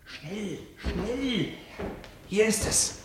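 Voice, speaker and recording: A high-pitched, hot-headed impatient young man. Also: expressive, extremely slow, noisy background, full character voice. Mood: hushed urgency. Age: young adult. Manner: brusque, commanding, sarcastic. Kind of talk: theatrical German radio play acting.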